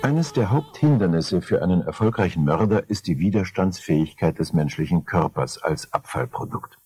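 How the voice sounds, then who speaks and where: An older man narrating a crime story in a measured, dry, darkly ironic way, his voice a little husky. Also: deep voice, expressive, a little mellow, faint music behind. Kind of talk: scripted crime audiobook narration.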